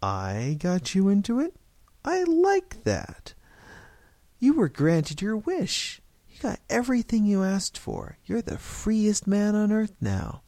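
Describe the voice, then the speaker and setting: A man voicing a mysterious little wish-granting stranger in a story, lecturing slowly and emphatically with indignation. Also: a little high, big pitch swings, a little mellow, dry recording, pitched above the performer's own voice, heavily acted. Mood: indignant. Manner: slow, emphatic, scolding.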